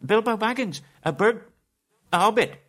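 A small, frightened hobbit , as a man puts him on pleads in a high, panicky, breathless voice. It sits far above the storyteller's usual low register and comes out loud and strained. It is a figure from a read-aloud storybook. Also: level tone, brisk, breathy edge.

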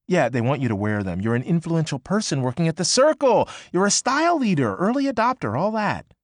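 A man voicing a brash, excitable young woman who talks fast, swears freely and pushes hard. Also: a little high, no echo, clean studio sound, pitched above the performer's own voice. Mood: breezy. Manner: quick, emphatic, swearing freely. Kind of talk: audiobook character voice.

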